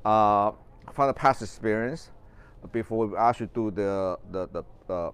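A man's voice: on the low side, a little slow, clear, resonant tone. A middle-aged man from Taiwan with a Taiwanese accent, talking casually in a greenhouse live stream, rambling with many fillers and restarts. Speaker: orchid grower and show host. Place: large greenhouse.

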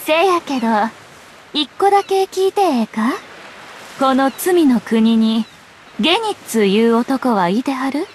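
Breezy young journalist lady from an anime game, high voice, kansai accent, teasing, bit of noise behind. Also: expressive, unhurried. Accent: Kansai, Kyoto-style. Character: breezy, self-possessed young woman journalist. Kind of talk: Japanese anime game voice acting.

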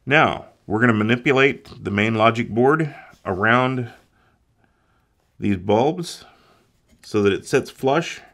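A man's voice: mid-range voice, lively intonation, slow pace, pauses often, a bit bright. A man walking through an electronics repair step by step in a plain, methodical way. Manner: methodical, plain instructional delivery. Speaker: repair instructor.

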